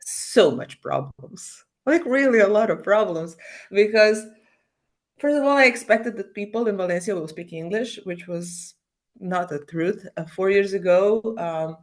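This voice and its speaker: A woman from Croatia speaking as a podcast guest, animated and full of fillers and restarts. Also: medium pitch. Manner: animated, halting non-native English with many fillers. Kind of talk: casual interview.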